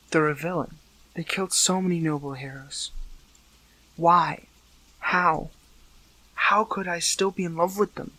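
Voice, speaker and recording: Man acting a high-voiced, conflicted young hero. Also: sharp tone, full character voice, poor audio. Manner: stammering, pleading, breathy outbursts. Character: captured young male hero, earnest, conflicted, lovelorn. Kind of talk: dramatic audio roleplay acting.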